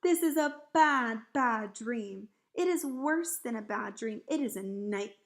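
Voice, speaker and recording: A woman voicing a big, excitable but kindly male giant in a storybook, dramatic and exclamatory, with quaint mangled grammar. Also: a little high, normal speed. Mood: distressed.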